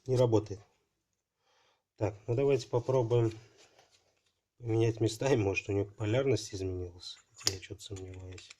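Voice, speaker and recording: A man hosting a casual unboxing video, talking haltingly and thinking aloud while his voice is affected by a cold. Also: on the low side, steady tone, mellow, a little hiss or hum. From Russia. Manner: halting, thinking aloud, many fillers like "so" and "there". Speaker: channel host unboxing parcels.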